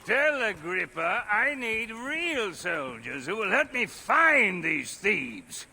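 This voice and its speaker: An actor playing a haughty, exasperated Roman engineer in a video game, scornful, theatrical and raspy. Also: male voice, high voice, sing-song, takes it slowly, sharp tone, a little hiss or hum, exaggerated voice. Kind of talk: dramatic video game acting.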